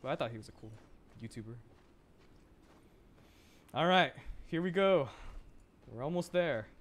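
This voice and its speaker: A man's voice: a little high, expressive, unhurried, slight background noise. A young man, chatting casually and playfully in a rambling way as he livestreams a game. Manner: playful, rambling, laughs often. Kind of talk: casual gaming livestream chat.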